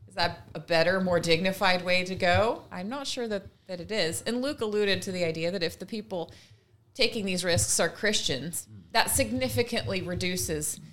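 A woman's voice: lowish voice, moderate pace, fairly bright tone. A woman co-hosting a casual podcast discussion, speaking in an earnest, opinionated and emphatic way. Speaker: podcast co-host.

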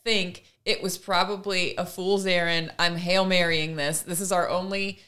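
A woman's voice: on the low side, level tone, moderate pace, pushed and bright. A woman co-hosting a casual discussion, earnest and emphatic, building her argument in long runs. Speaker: podcast co-host.

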